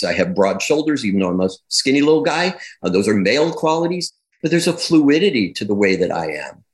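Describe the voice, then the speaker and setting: A man speaking as a guest in an interview, explaining his views in a reflective, conversational way. He grows more animated as he builds his points. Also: on the low side. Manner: reflective, with repeated phrases and restarts. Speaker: guest, clinician and educator on gender.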